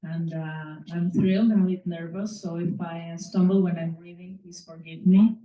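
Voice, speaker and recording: A woman's voice: lowish voice, moderate pace. Woman from Mexico, Spanish native, author reading aloud, echoey room, distant dull mic. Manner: hesitant with many filler words, then steady reading. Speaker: author reading her story. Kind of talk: reading a short story aloud. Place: bookstore or small venue reading.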